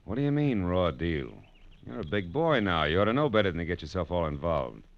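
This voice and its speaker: A man's voice: low-pitched, big pitch swings, a little fast. An actor playing a tough, wry private detective in a 1940s radio drama, clipped and hard-boiled as he tells his case. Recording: dull old radio recording, music behind.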